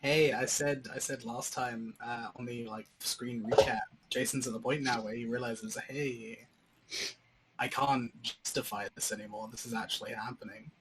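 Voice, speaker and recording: A man chats casually as a game player over an online call. His pitch is middling, and there is clear noise with clicks behind him. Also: steady tone, moderate pace. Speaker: player in a streamed role-playing game. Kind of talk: casual chat. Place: online group video call.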